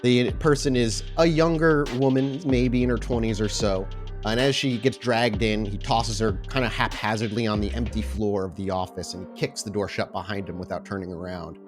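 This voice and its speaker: A man runs a tabletop game as storyteller, describing scenes in measured, improvised narration. His voice sits slightly low, with a faint music bed underneath. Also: fairly even intonation. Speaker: game master.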